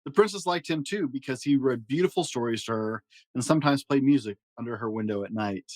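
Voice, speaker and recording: A man narrating a children's story aloud in an expressive, animated way with dramatic pauses. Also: medium pitch. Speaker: podcast host reading a children's storybook aloud.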